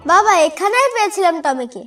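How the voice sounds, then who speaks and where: Woman doing an eager little village kid, tiny high voice, kids cartoon, sounds a bit far. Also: sing-song, fairly quick, music in the background. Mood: plaintive. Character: plaintive little village boy, innocent and eager. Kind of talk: Bengali children's cartoon voice acting.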